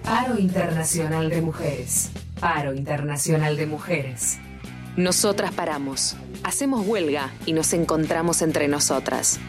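Low-voiced woman over guitar music. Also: measured pace. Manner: resolute, rallying delivery. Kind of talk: scripted promo voice-over.

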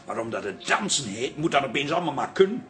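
A man's voice: medium pitch, sing-song, fast, fairly bright tone, faint music behind. A man acting in a radio drama as a sardonic middle-aged husband who grumbles in a pompous, florid way. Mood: grumbling, disdainful.

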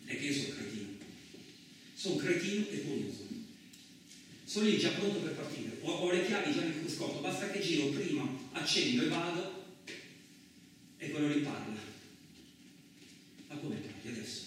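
A man acting in a radio play as a gruff, crude truck driver who tells his story in blustering, rambling, colloquial speech. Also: fairly high pitch, very animated, normal speed, long pauses, a bit bright. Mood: curious, grumbling. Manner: blustering, rambling, conversational, mildly swearing. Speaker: actor in a solo radio play. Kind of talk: colloquial theatrical radio monologue. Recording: roomy, echoing distant miking with faint noise.

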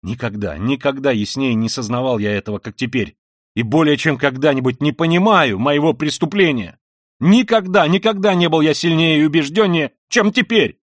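Male reader as a feverish, proud young man: hushed and weary, dry close sound. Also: fairly low pitch, normal speed, no room sound, close to an everyday voice. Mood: furious. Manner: quiet and weary, then bursts into shouting rage. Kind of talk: audiobook reading of a classic novel.

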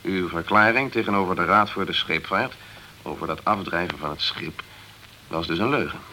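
Probing, bright-voiced crime investigator. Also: male voice, deep voice, slight background noise, exaggerated voice, rough recording. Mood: probing, stern. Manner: measured, pointed questioning. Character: probing, sceptical male investigator, composed and persistent. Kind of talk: Dutch radio crime drama acting.

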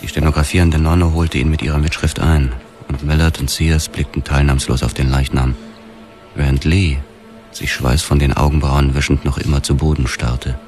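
An actor playing a hardboiled detective who narrates his own story in a radio drama, sober, measured and understated. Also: rumbling low, steady tone, darkish tone, soft background music. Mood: grim, restrained. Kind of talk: scripted first-person radio drama narration.

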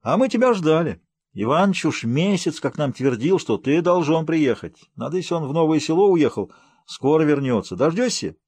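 Guy doing a chatty old village grandpa for an audiobook, blunt n folksy, pitched up, rough husky voice, dry sound. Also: sing-song, moderate pace, darkish tone, dry recording. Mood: hearty, welcoming. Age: older. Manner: rustic colloquial speech, exclaiming. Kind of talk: audiobook character voice.

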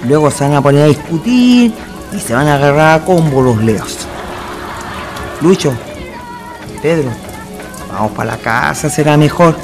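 An actor playing a bossy, slangy tavern companion with a Chilean accent in a radio drama. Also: male voice, a little high, animated, unhurried, long pauses, music in the background. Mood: teasing. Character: gruff impatient male tavern drinking companion.

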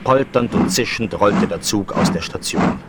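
A man's voice: on the low side, moderate pace, vocal fry. A man narrating dramatically from a script, as the storyteller of a German radio play. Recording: noisy 1970s vinyl rip.

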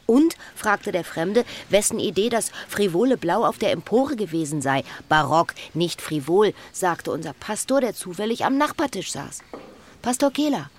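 An actress playing a wistful, lovesick woman, brooding and quietly bitter, in an intimate literary radio drama. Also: medium pitch, expressive, normal speed, lightly acted. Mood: wistful, longing. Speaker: lead actress and first-person narrator.

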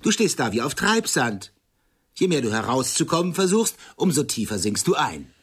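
An actor playing a sharp-tongued, condescending intellectual man in a German radio play, articulate and insistent. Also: mid-range voice, very animated, normal speed, slightly rough. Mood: accusing. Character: Serge, male friend, sharp-tongued, lecturing, condescending intellectual. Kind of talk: radio play acting, dialogue.

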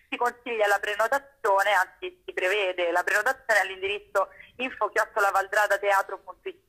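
A woman's voice: medium pitch, pushed and bright, low-quality recording. A young woman in a radio interview, explaining things in an articulate, conversational way and often checking in with short tag questions. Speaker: guest, actress and playwright.

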